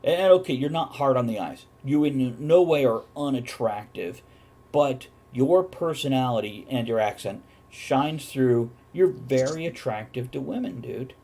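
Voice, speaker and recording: A man's voice: mid-range voice, animated, a little slow, dark tone. A man hosting a casual chat show, talking bluntly and swearing freely as he banters. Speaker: host of a talk show.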